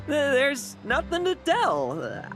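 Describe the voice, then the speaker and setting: An actor playing a sardonic, self-doubting young knight in a video game, with a theatrical, teasing delivery. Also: male voice, very high voice, huge pitch swings, extremely slow, a bit bright, faint music behind, full character voice. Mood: rueful. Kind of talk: dramatic game voice acting.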